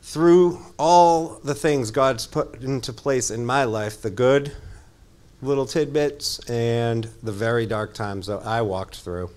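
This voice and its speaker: Church member introducing a guest: man, medium pitch, earnest, conversational testimony. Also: slow, a little mellow. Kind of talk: heartfelt informal church testimony.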